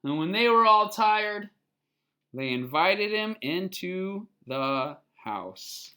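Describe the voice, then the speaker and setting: A storybook reader giving an expressive children's read-aloud, pausing to explain and ask questions. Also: mid-range voice, clear, resonant tone.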